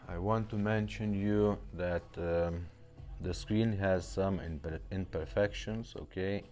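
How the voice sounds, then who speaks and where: A man who speaks English as a second language, giving a casual, halting step-by-step product demonstration. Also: deep voice, steady tone, darkish tone, faint music behind. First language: not English. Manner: halting, plain step-by-step explaining. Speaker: presenter demonstrating restored car radios.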